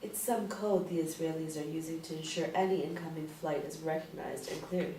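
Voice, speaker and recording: A woman's voice: sits low, fairly even intonation, brisk, dark tone, close to an everyday voice. A woman playing a tough, sardonic young spy in a screenplay read, with a dry, cutting manner. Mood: cool, teasing. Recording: echoey room, distant mic, faint noise.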